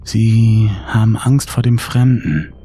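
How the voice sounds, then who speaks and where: A man voicing a withdrawn, stoic little girl with a calm, emotionless manner in a horror audiobook. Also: lowish voice, slow, soft background music, pitched above the performer's own voice. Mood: eerily calm.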